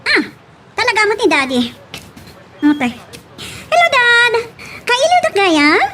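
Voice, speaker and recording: A woman's voice: very high voice, sing-song, measured pace, noisy background, full character voice. A woman playing a brash, teasing, spoiled young daughter in an Ilocano audio drama. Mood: dismissive. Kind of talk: Ilocano radio-style drama acting.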